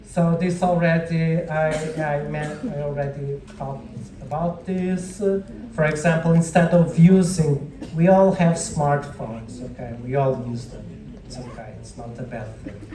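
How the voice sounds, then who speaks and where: A man's voice: on the high side, fairly even intonation, a little slow, a crowd in the background. A man with a Portuguese accent, a native Portuguese speaker, giving an informal classroom lecture and often checking in with short tag questions. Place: indoor lecture room with audience. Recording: echoey room, distant microphone.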